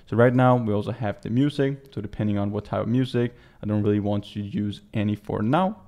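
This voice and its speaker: A man in his twenties from Denmark with a Danish accent, giving a casual software walkthrough as a native Danish speaker. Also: fairly low pitch, a little fast. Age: 20s. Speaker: tutorial presenter. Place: small indoor room.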